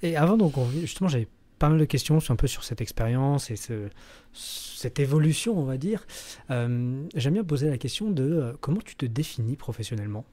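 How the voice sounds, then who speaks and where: A man hosts a podcast and puts questions to his guest in a relaxed way. His voice is mellow and dark. He stops and restarts often, filling the gaps with hesitations. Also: mid-range voice. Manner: halting, many fillers and restarts. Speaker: podcast host. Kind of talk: casual interview questions.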